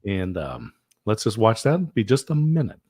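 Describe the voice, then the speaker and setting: A man hosting a casual live stream, chatting in a relaxed way with frequent "uh" fillers. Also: lowish voice, normal speed, breathy edge, dry recording, clean studio sound. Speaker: livestream host.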